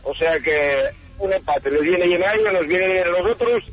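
A man's voice: high-pitched, moderate pace, husky. A man with an accent from Spain calling a radio show, giving his opinion in a jokey, colloquial way. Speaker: radio phone-in caller. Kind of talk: casual opinion on a call-in show. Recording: muffled call over radio, music behind.

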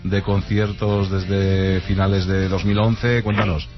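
A man hosting a radio interview, asking questions in a relaxed, friendly way. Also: deep voice, slow pace, music in the background. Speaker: radio host. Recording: muffled radio sound.

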